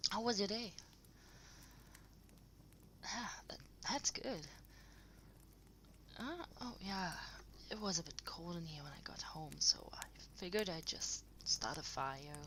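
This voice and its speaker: A woman playing an affectionate partner in an intimate audio roleplay, rambling softly and hesitantly to the listener at home. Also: on the low side, a little hiss or hum, full character voice. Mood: soft, welcoming. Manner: soft, breathy, hesitant rambling with trailing pauses.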